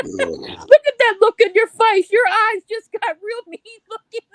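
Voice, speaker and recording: A woman in a casual group chat, playful and quick to laugh. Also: extremely high pitch, no echo, studio quality. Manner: playful, giggly.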